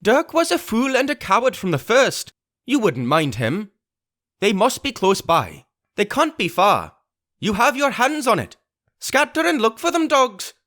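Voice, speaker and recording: A man voicing a vicious blind old pirate beggar who shouts his commands and curses in a shrill, raised voice. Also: wildly swooping pitch, a little slow, full character voice. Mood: raging.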